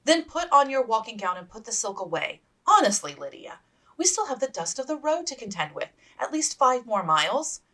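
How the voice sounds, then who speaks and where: A woman voicing a sensible, proper young gentlewoman and elder sister in a period story, firm and exasperated in manner. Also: a little high, sing-song, moderate pace, voice pushed up. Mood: exasperated scolding.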